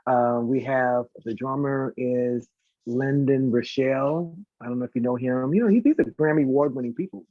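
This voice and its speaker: Fast-talking, excitable man on dull audio. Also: mid-range voice, dry recording. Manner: excitable, effusive, rambling. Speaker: guest, singer and concert organiser. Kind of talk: casual live chat, talkative promotion.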